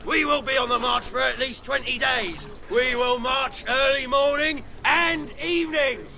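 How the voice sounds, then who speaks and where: Drill sergeant, very high strained pitch, piercing, slow deliberate barked orders, radio drama. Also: male voice, vocal fry, sharp tone, heavily acted. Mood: stern threats. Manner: barking orders. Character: gruff, bellowing army sergeant drilling medieval troops.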